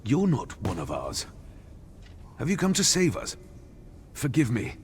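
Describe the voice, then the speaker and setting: Wounded soldier, swooping pitch. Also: male voice, huge pitch swings, a little slow, slight background noise. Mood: weak, pleading. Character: injured male soldier, humble, asking for aid. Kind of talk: fantasy video game acting.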